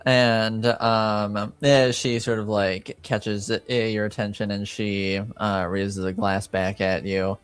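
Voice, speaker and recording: Slow, halting male game master. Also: on the low side, flat delivery, smooth, no room sound. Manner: halting, searching for words. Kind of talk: improvised tabletop game narration.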